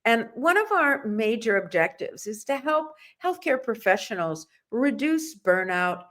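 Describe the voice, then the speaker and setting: A woman's voice: mid-range voice, a bit bright. A woman speaking as a podcast host, introducing and questioning a guest in a conversational way. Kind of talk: conversational interview hosting.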